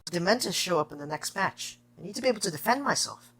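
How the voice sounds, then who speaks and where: A man's voice: high-pitched, lively intonation, quick pace, creaky, a bit bright, exaggerated voice. A man playing an anxious, insistent teenage schoolboy wizard in an audio drama, earnest and questioning.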